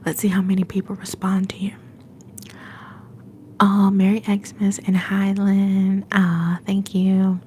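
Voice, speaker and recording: A young woman hosting a livestream from home, whispering softly and affectionately to her viewers. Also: medium pitch, completely flat delivery, takes it slowly, slight background noise. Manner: soft whisper, affectionate and encouraging. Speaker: livestream host.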